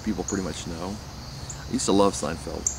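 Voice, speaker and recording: A man rambling casually outdoors about faith, speaking haltingly with many restarts. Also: deep voice, noisy background. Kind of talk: casual spiritual musing. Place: outdoors near trees.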